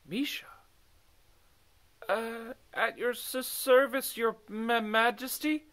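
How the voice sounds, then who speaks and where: A man's voice: very high voice, steady tone, takes it slowly, fairly bright tone, pitched above the performer's own voice, exaggerated voice. A man voicing a tiny, timid female messenger in a storybook, flustered, hesitant and stammering. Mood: startled, nervous.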